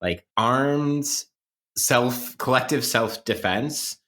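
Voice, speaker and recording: A man's voice: mid-range voice, extremely slow, fairly bright tone. A man co-hosting a podcast, talking in an informal, thoughtful way and refining his wording as he goes. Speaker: podcast co-host. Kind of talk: conversational intellectual discussion.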